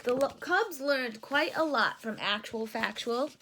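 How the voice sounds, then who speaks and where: A woman reading a children's picture book aloud in a deliberate, teacherly way, stopping to put questions to young listeners. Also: fairly high pitch, animated, pushed and bright, slight background noise. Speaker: read-aloud storyteller for children.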